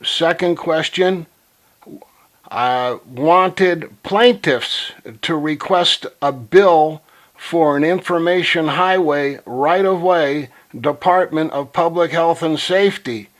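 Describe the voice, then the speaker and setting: A man making a phone call to pitch his ideas, speaking earnestly and insistently as if reciting slogans. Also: slow. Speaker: caller.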